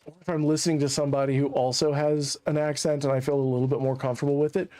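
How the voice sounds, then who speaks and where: A man's voice: on the high side, little pitch movement, racing, mellow. Chatty man streaming a game. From Central Virginia, USA. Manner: rambling, chatty, laughs at his own jokes. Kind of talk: casual gameplay commentary.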